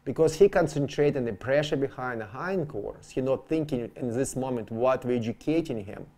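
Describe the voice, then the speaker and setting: Male riding instructor, non-native English speaker, dark mellow tone, explains methodically and drives key terms home by repetition. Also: medium pitch, animated, a little fast. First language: not English. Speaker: horsemanship trainer and instructor.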